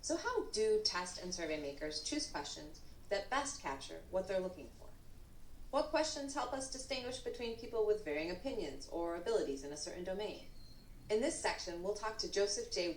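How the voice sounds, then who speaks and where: A woman giving a measured, scripted course introduction as its narrator. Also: medium pitch, very animated. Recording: played course video, roomy with faint noise.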